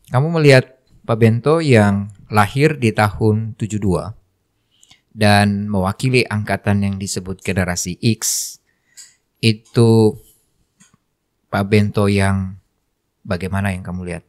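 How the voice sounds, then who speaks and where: Guy hosting a studio chat, kinda low voice, keeps rephrasing his questions. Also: fairly even intonation, a little slow, long pauses, a little mellow. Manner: probing, rephrases questions, many fillers. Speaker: host. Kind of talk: casual talk show hosting.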